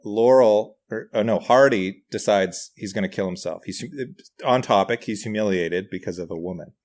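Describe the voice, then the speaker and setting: Low-voiced middle-aged man. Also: normal speed, a little mellow. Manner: self-deprecating, hesitant. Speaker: podcast guest, writer and comedian. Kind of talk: casual conversational anecdotes.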